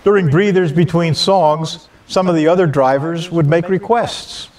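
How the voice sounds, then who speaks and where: A man's voice: a little high, measured pace, a little mellow. A man reading a scripted personal holiday story as a storyteller. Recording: distant mic with hiss.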